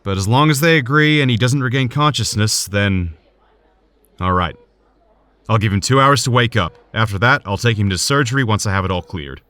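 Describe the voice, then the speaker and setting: An actor playing a serious, cautious hospital surgeon in an audio drama, speaking in a measured, formal way. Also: male voice, fairly low pitch, lively intonation, bright, forceful tone, no echo. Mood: grave, measured.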